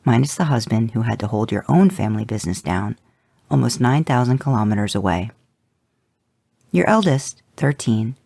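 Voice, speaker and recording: A podcast producer reading fan fiction aloud as a podfic, expressive and voicing the characters. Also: mid-range voice, gravelly, dry recording.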